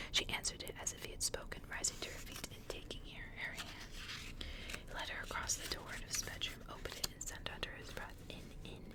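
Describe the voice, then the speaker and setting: Female ASMR reader, fully whispered, breathy narration of old horror fiction, soft and storylike. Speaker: ASMR reader and channel host.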